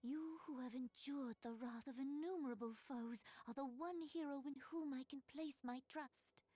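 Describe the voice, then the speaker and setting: A young queen of a fantasy game played by an actress, high in pitch and grave in temper. Her speech is regal and deliberate, with formal old-fashioned phrasing, recorded close and dry. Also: warm tone, no echo, close to an everyday voice. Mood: grave, resolute. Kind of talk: video game cutscene acting.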